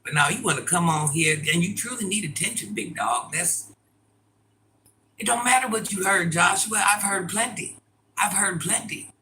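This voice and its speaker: A middle-aged woman with a deep voice, chatting casually and bluntly as she answers viewer comments in a livestream. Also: lively intonation, normal speed, bright, forceful tone, slight background noise, rough recording. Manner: blunt, sassy, talks straight to commenters.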